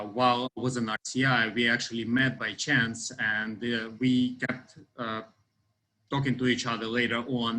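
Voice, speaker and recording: A man's voice: mid-range voice, pushed and bright, a little hiss or hum, poor audio. A man in his thirties from Ukraine with a Ukrainian accent, giving a semi-formal campaign talk in hesitant, roundabout phrasing. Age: 30s. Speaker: union election candidate.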